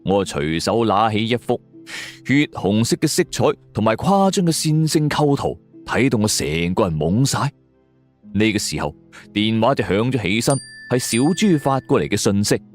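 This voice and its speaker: A man's voice: fairly low pitch, sing-song, normal speed. A man narrating a scripted suspense story in a dramatic way. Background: background music.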